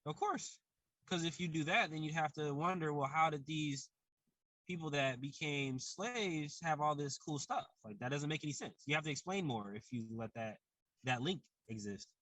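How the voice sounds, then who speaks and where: A young man talks on a podcast in a relaxed way and makes his points with everyday comparisons. His voice is bright and sits a bit high. The sound is dry and close. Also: moderate pace, no room sound, poor audio. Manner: loose, explains with everyday comparisons. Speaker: podcast co-host. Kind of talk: casual chat.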